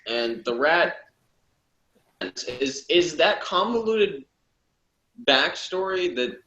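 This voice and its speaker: A man's voice: fairly high pitch, very animated, slow, pushed and bright, lo-fi sound. A man giving blunt, dismissive criticism in a casual panel review chat. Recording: echoey, roomy sound.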